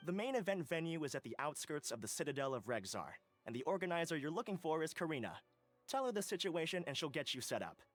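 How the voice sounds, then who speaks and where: A courteous male academy official from a video game. His voice sits fairly high and moves expressively, polite and reassuring, with articulate phrasing. Soft music plays behind him. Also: very animated, quick pace. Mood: helpful. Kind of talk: video game character acting.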